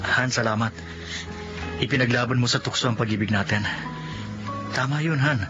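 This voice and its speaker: On a dull lo-fi radio recording, a loving husband played by an actor comes home from abroad. He speaks gently and reassures his wife. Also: medium pitch, moderate pace, over music, natural voice. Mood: affectionate. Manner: affectionate, reassuring. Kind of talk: Filipino radio drama acting.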